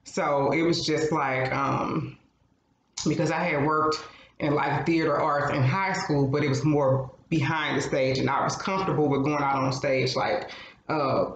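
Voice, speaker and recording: A man's voice: on the high side, steady tone, measured pace, a bit bright. A man co-hosting a casual podcast, giving frank, blunt advice and swearing freely. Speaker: podcast co-host. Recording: roomy, slightly dull sound with faint noise.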